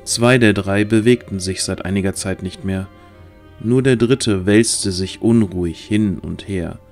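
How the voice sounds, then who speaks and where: A man's voice: on the low side, unhurried, a little mellow, soft background music. A man narrating a scripted fantasy audio drama as its storyteller.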